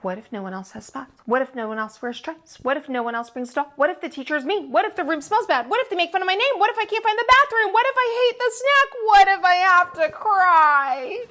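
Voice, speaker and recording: A woman's voice: very high-pitched, animated, a little fast, hardly any pauses, bright, forceful tone, voice pushed up, heavily acted. A woman voicing an anxious, earnest little girl mouse from a storybook, fretting in questions. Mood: anxious, escalating. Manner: fretful, questioning.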